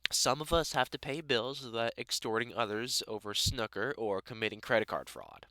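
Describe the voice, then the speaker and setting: Man playing a sardonic British vampire hunter, crisp and bright. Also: mid-range voice, animated, moderate pace, dry recording. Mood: wry, teasing. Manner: dry, sarcastic, chatty, informal with mild swearing. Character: gruff, sardonic but welcoming male vampire hunter. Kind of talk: conversational one-sided audio roleplay addressed to the listener.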